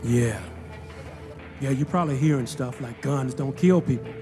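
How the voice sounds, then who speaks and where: A man's voice: medium pitch, moderate pace, mellow, faint music behind, natural voice. A man playing a bitterly sarcastic narrator delivering social criticism in an audio drama. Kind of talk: scripted audio drama monologue.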